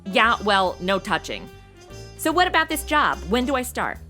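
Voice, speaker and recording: A woman's voice: medium pitch, sing-song, normal speed, pushed and bright, soft background music. A woman playing a brash, sarcastic young temp posing as a showgirl, talking in blunt modern slang in a noir comedy audio drama. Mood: indignant.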